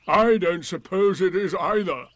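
A man voicing a gloomy, sarcastic donkey in a storybook, with drawn-out, emphatic, indignant delivery. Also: high-pitched, fairly bright tone, no echo, voice pushed up. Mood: coldly sarcastic.

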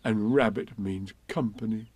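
A man reading a storybook aloud and voicing a simple, good-natured teddy bear who talks slowly and hums to himself. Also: on the low side, a little mellow, slightly rough, dry recording. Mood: musing. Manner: slow, musing, hums to himself.

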